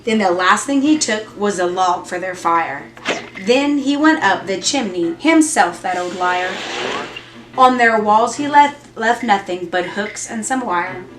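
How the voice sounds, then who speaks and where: A woman's voice: mid-range voice, expressive, a little slow. A woman reading a rhyming children's story aloud, expressively, with singsong rhythm and dramatic emphasis. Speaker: storybook reader. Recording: roomy echo with music behind.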